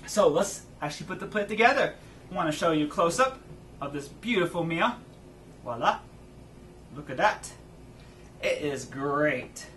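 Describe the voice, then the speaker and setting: A man in his thirties hosting an energetic, chatty cooking demo outdoors in his backyard garden. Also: a little high, normal speed, long pauses, distant mic, a little hiss or hum. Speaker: cooking show host. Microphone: far camera, outdoors.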